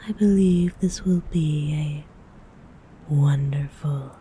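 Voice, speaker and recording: Haughty female vampire voiced by a woman, whispered, very slow and drawn out, low and mellow. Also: a little hiss or hum, poor audio. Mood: possessive. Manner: haughty, formal phrasing. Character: predatory female vampire, aloof and possessive. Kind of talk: ASMR role-play audio.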